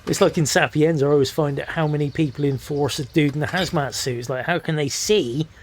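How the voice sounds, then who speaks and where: A hoarse man, commentating a competitive video game match with excited, swearing energy. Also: on the high side, brisk, a little hiss or hum. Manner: energetic, swears freely, laughs often. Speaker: esports shoutcaster.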